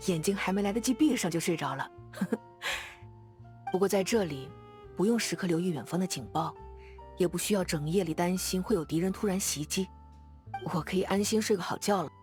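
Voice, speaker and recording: A woman voices an earnest young male sentry from a fantasy game. The voice is medium in pitch for a woman, reflective and conversational, with music behind it. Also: darkish tone, lightly acted. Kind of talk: video game character dialogue.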